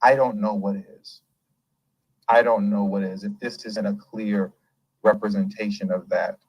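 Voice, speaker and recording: A man hosting a casual commentary show, talking in an animated, emphatic and rambling way and laughing often. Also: lowish voice, unhurried, dry recording, low-quality recording. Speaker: host.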